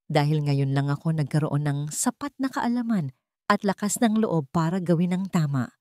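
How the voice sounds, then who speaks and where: An earnest young man from an audio storybook, voiced by a woman, speaking with calm respect and firm resolve. The sound is dry and close. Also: low-pitched, moderate pace, dry recording. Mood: earnest pleading. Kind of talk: dramatic audio storybook dialogue.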